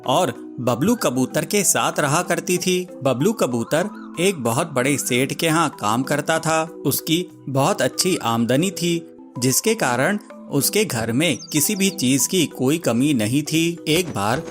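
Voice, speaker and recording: A man's voice: on the high side, expressive, slow. A man giving scripted narration of a children's story as a storyteller. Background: music.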